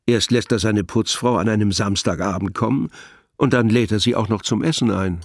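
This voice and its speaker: A man narrating a scripted audio drama in a measured, literary storytelling manner. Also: fairly low pitch, slight background noise.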